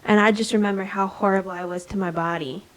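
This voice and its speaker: A woman's voice: medium pitch, fairly even intonation, fast, poor audio. A young woman co-hosting a podcast, talking casually in a rambling way full of restarts. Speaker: podcast co-host and editor. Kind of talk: casual chat.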